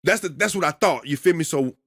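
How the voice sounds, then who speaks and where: A man in his thirties talks on a podcast in a loud, commanding voice, leaning hard on his points as he tells stories. The sound is dry and close, with no room around it. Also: on the high side, fast, no echo. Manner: loud, commanding, emphatic storytelling. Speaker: podcast co-host. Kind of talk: casual podcast chat.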